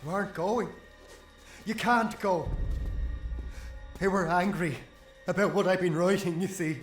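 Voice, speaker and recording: Desperate wizard father for a fantasy game, high-pitched, agitated, pleading, echoey sound. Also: fairly even intonation, unhurried. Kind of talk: video game voice acting. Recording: echoey, faint music.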